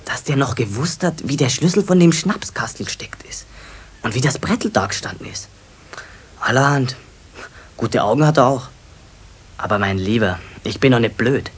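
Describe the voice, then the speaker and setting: A man playing a sulky, defiant teenage apprentice with a Bavarian accent and a husky voice in a radio drama. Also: medium pitch, animated, normal speed, pauses often. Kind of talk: 1970s German radio drama acting.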